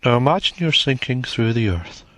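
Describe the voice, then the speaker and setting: A man narrating a guided meditation in a soothing, measured manner, leaving long pauses between short phrases. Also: medium pitch, big pitch swings, sharp tone, dry recording.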